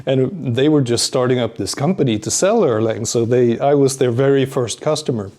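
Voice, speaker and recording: A man chatting as an interview guest at a conference. He speaks at an ordinary middle pitch, pausing often and filling gaps with ums before picking up his thought again, with small chuckles along the way. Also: dark tone. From Sweden. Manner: halting, many pauses and fillers, chuckles often. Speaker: guest, programmer. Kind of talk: casual interview chat. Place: conference venue.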